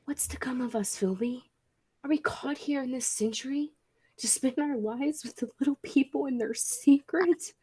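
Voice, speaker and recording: A woman's voice: sits high, normal speed, a little mellow, husky, no room sound. A woman playing a suspicious, hot-tempered male time traveller in a radio play, with an urgent, demanding manner. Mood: resigned. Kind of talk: 1940s radio play acting.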